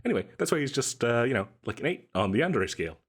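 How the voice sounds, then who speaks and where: A man acts out an overeager salesman in a comedic audio roleplay, with a mid-pitched voice that swings up and down freely. He rambles and stammers through a chatty pitch, coy and cheerfully shady about what he is selling. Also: big pitch swings, fast talker, breathy edge. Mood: chatty, impressed. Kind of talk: comedic audio roleplay, one side of a conversation.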